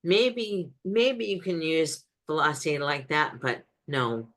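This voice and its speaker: A woman's voice: sits low, takes it slowly, a bit bright. A woman speaker answering audience questions in a webinar over a video call, direct, with frequent ums and restarts.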